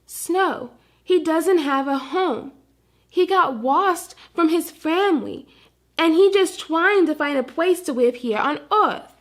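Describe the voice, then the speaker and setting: A woman's voice: very high voice, slow, pitched above the performer's own voice. A woman voicing a mischievous, earnest little boy puppy with babyish grammar in a children's storybook. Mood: concerned, kind. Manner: babyish grammar, says dat for that. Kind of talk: read-aloud children's storybook character.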